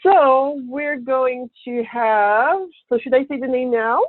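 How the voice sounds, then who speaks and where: An upbeat woman speaks for a cruise line on a video call. She sounds enthusiastic, and her voice sits a little high. The audio is dry and narrow like a phone line. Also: steady tone, takes it slowly, no room sound. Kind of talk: casual webinar talk.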